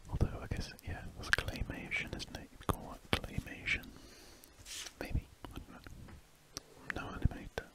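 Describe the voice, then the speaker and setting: Whispering British man, breathy ASMR. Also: a little slow. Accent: British English. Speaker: ASMR artist. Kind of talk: ASMR, whispered casual rambling while drawing. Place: small warm room at a desk, window shut. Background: pen scratching on paper.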